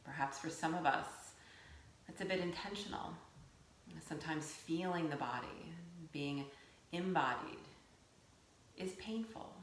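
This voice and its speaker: A woman teaching yoga nidra, giving gentle guided meditation instruction in a soothing, unhurried way with pauses. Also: deep voice. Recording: roomy echo, faint background noise.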